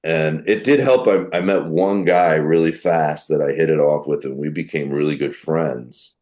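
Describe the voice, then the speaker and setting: A middle-aged man with a deep voice, a comedian telling animated stories in a podcast interview. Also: moderate pace. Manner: animated storyteller who acts out quoted people. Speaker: guest comedian.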